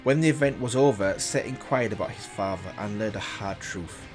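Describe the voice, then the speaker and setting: A man's voice: on the low side, normal speed, soft background music. A man hosting a reaction video, reading a story aloud in a casual way with slips and self-corrections, remarking on it as he goes.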